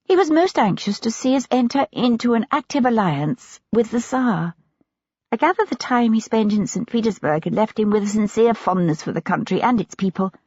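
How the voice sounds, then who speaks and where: A woman reading an audiobook, voicing Lady Foley, a shy, gentle young wife with a refined, light manner. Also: on the high side, lively intonation, normal speed, breathy edge, dry recording, lo-fi sound, pitched above the performer's own voice. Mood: earnest.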